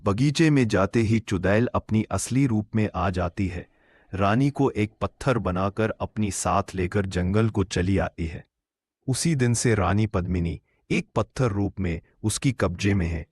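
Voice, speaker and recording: A man playing a grave holy man recounting a cartoon tale in a measured, explanatory way. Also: fairly low pitch, breathy edge, no echo. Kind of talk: Hindi cartoon story narration.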